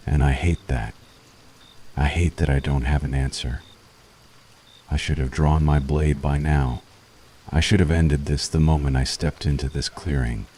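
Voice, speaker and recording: A man playing a brooding, conflicted witch hunter in an intense, deliberate ASMR roleplay monologue. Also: very deep voice, warm tone, slight background noise.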